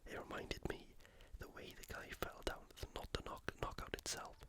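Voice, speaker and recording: An ASMR host delivers casual commentary entirely in a whisper. The voice is airy and breathy, with no real voicing. The delivery is conversational, like chatting through a match or a game. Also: moderate pace.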